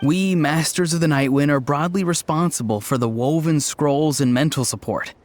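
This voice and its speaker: An actor playing an earnest, odd young tribesman in a video game, deadpan and matter-of-fact. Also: a little high, moderate pace, a little hiss or hum, lightly acted. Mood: sincere.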